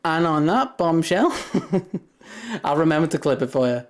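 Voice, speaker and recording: Young man, deep voice, casual stream chat, rambling, frequent laughs. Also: sing-song, a little slow. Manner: rambling, laughs often between thoughts. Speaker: livestreamer.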